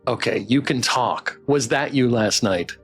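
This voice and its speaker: A woman's voice: very deep voice, a little slow, slightly rough, faint music behind. A woman streaming a game, reacting expressively in casual commentary and reading dialogue aloud. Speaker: streamer playing a game blind.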